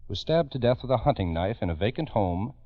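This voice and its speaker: Grave, low-voiced 1940s radio crime host, man. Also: lively intonation, brisk, no room sound. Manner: grave, emphatic, urgent. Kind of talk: dramatic 1940s radio narration. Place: radio studio. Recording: dull old radio recording.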